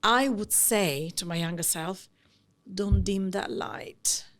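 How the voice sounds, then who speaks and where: A middle-aged woman with an Italian accent, a company founder talking as a guest in a podcast interview, effusive and animated, running her thoughts together. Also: mid-range voice, slow. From Italy. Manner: effusive, animated, talks in long run-on thoughts.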